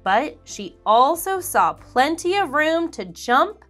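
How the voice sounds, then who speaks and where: A woman reads a picture book to young listeners, drawing out each phrase at an unhurried pace. Her pitch runs a little high and swings widely as she tells the story. Soft music plays faintly behind her. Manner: animated, slow and clear storytelling. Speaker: children's book reader. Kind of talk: expressive read-aloud for children.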